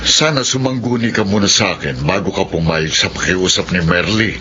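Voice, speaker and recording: A man's voice: sits low, moderate pace, background noise, lightly acted. A man playing a reluctant, practical grandfather in a Filipino radio drama. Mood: reluctant, grumbling. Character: reluctant grandfather Rudy, practical and wary of baby care. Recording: dull radio broadcast with music.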